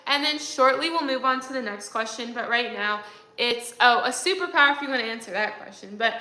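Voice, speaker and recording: A woman's voice: on the high side, a little fast, pushed and bright, echoey room, low-quality recording. A young woman hosting a livestream, chatting casually and reading viewer comments aloud, with lots of fillers. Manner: chatty, rambling, many fillers. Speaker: livestream host.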